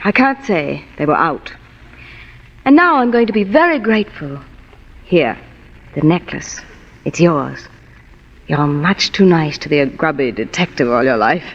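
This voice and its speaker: An actress playing a scheming, murderous blonde femme fatale, smooth and pleading, in 1940s radio acting style. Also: female voice, low voice, sing-song, unhurried, pauses often, heavily acted. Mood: cool, coaxing. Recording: dull vintage radio recording, long hall reverb.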